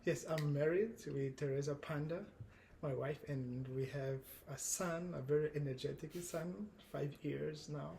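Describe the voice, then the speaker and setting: An Angolan man in his thirties with a Portuguese accent, answering as a guest with earnest, measured thoughts that often restart mid-phrase. His voice sits fairly high, with a gravelly fry and a mellow, dark tone, and a faint hiss is heard behind him. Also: fairly even intonation, low-quality recording. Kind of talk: casual conversation.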